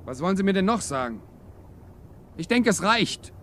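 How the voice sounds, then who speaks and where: Higher-voiced, earnest young man. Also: big pitch swings, normal speed, a bit bright. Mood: shaken, indignant. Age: young adult. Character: earnest, wary young man, the captain's son. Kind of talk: 1950s radio drama acting. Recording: hissy 1950s radio recording.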